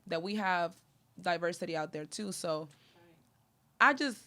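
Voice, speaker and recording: Animated, lower-pitched woman from Honduras. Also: unhurried. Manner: animated, full of fillers like "like" and "you know". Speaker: podcast host. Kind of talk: casual chat.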